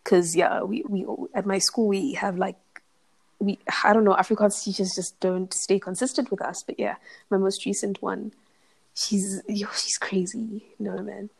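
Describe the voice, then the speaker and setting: A teenage girl still in high school, speaking bluntly and emphatically in casual podcast chat. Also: flat delivery, moderate pace, gravelly, husky. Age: teens. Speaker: podcast co-host.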